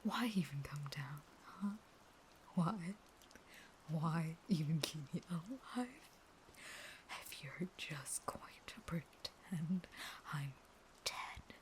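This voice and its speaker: A woman acts out a starving female vampire in an intimate audio roleplay, low and breathy, mostly in whispers. She draws her words out slowly, an unstable caged lover who both pleads and threatens. Faint noise sits behind the voice. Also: lively intonation. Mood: bitter, threatening.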